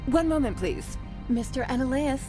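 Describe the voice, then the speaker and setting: An actress playing a polite, guarded corporate assistant in a video game, formal and businesslike. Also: female voice, sits high, animated, normal speed, warm tone, soft background music.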